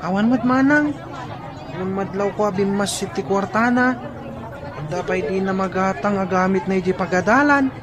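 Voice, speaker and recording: A woman's voice: mid-range voice, normal speed, crowd noise, close to an everyday voice. A woman with an Ilocano accent playing a gossipy, concerned young companion in a radio drama.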